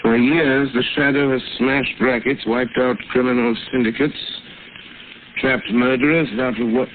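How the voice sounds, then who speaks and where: An actor playing a calm, reflective gentleman detective working out a crime in a vintage radio drama. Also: mid-range voice, lightly acted. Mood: thoughtful. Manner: measured, reflective. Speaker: amateur detective. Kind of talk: 1940s radio acting. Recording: lo-fi old radio broadcast, hiss.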